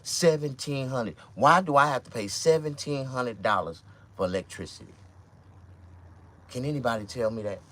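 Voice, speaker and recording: A man around fifty with a Mississippi accent, talking to camera in a loose, profane, rambling monologue with sudden loud outbursts. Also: mid-range voice, moderate pace. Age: 50s. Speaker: vlogger and stand-up comedian.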